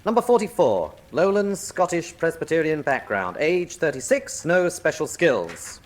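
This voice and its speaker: Suave, menacing colonel with swooping delivery. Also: male voice, a little high, sing-song, a little slow. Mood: mockingly polite. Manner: mockingly courteous, articulate. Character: suave menacing colonel, a crime lord's lieutenant. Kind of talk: radio drama acting.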